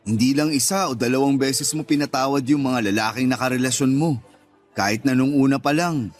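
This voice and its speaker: Actor playing a loyal, soft-hearted Filipino man. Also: medium pitch, close to an everyday voice. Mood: gentle, resigned. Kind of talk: Filipino radio drama dialogue acting.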